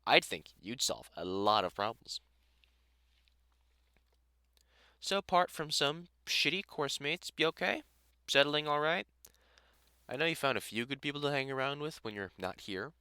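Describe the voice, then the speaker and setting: A middle-aged British vampire hunter acted by a man speaks in a clear, forward voice, recorded close and dry. He is blunt and sweary, with a teasing fondness, as in an intimate audio roleplay. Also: medium pitch, big pitch swings, normal speed, pushed and bright, no echo. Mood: wry, caring. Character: gruff, kindly middle-aged male vampire hunter and carpenter. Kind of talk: audio roleplay acting, intimate one-sided conversation.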